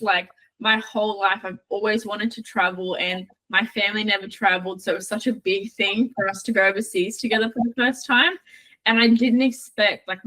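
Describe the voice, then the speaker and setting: A woman from Australia, co-hosting a podcast and talking in a halting way full of "like". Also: mid-range voice, bright, forceful tone, poor audio. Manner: halting, many "like" fillers. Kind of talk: casual podcast chat.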